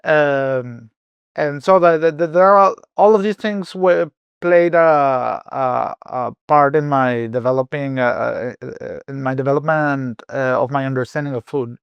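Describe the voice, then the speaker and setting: A man's voice: medium pitch, unhurried, creaky, clean tone, no echo, highs cut off. A man who grew up in Venezuela, a chef speaking as a podcast guest, thoughtful and full of restarts and fillers. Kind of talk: podcast interview.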